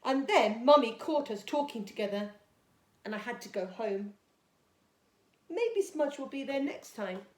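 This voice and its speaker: A woman's voice: fairly high pitch, animated, a little slow, long pauses. A woman voicing a lonely, polite, timid little boy from a storybook in an earnest childlike manner. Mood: shyly excited. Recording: echoey, roomy sound.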